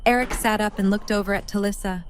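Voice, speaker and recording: A woman's voice: mid-range voice, fairly even intonation, quick pace, slight background noise. A woman reading scripted third-person narration for an audio drama.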